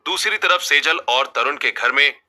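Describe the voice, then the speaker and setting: A man's voice: on the high side, moderate pace. A man reading a scripted family story as its narrator. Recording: music bed under the voice. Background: loud background music.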